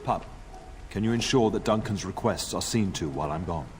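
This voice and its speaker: Lowish-voiced fatherly noble lord. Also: expressive, a little fast, darkish tone. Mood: firm, protective. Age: middle-aged. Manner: stately, assured, fatherly. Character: grey-haired noble father, warm, authoritative lord. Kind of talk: scripted fantasy game dialogue.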